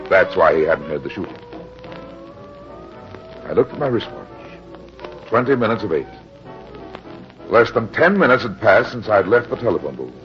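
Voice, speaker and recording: An actor playing a tough, matter-of-fact American private detective, with clipped old-time radio noir delivery. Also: male voice, on the low side, sing-song, normal speed, long pauses, muffled recording, soft background music. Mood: tense, grim narration. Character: hard-boiled, unsentimental male private detective in pulp radio drama.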